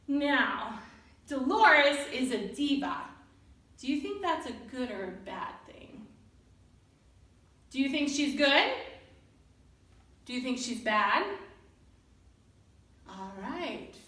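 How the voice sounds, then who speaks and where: A woman reading a children's story aloud expressively and deliberately, pausing to put questions to young listeners. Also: sits high, very animated, fairly bright tone. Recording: roomy echo, distant mic.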